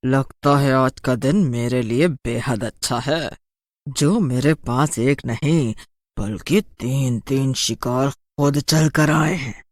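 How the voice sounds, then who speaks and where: A menacing blind witch from a spooky storybook, acted out by a woman. She draws her words out slowly and tauntingly, like a hunter toying with children. The sound is dry, with little room. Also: very deep voice, a little mellow, no room sound, exaggerated voice. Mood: gloating. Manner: slow, taunting, drawn-out delivery with cackling.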